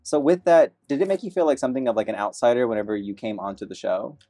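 A man's voice: medium pitch, expressive, fast talker, warm tone, no echo. A man hosting a podcast interview, casual and teasing, laughing often. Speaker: podcast host.

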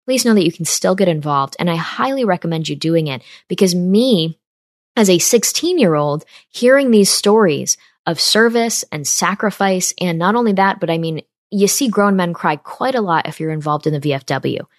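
A woman's voice: on the low side, expressive, moderate pace, no room sound, clean studio sound. A woman in her twenties from upstate New York, hosting a podcast and talking earnestly and directly to her listeners. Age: 20s. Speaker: podcast host. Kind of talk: casual monologue.

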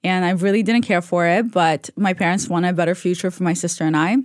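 A woman's voice: on the low side, fairly even intonation, fast talker, dry recording, studio quality. A young adult woman born in Russia and raised in Israel, telling her story as a podcast guest in a candid, chatty way. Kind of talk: casual interview chat.

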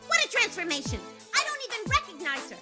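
Tiny squeaky ditzy judge. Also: female voice, big pitch swings, fast talker, over music. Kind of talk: parody reality-show judging in radio play.

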